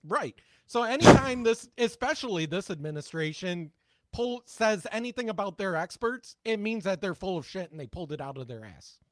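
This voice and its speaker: Blunt, high-voiced male podcast co-host. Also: animated, normal speed, no room sound. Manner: blunt, profane, sarcastic commentary. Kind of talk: casual political chat.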